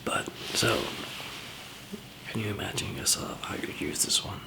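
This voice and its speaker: A man playing a calm, easygoing survival trainer in an ASMR zombie apocalypse roleplay, whispering softly and hesitantly with a gravelly, breathy voice. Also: lightly acted, rough recording. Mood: quietly instructive. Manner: hesitant, halting, soft-spoken. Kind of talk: ASMR roleplay, improvised monologue to listener.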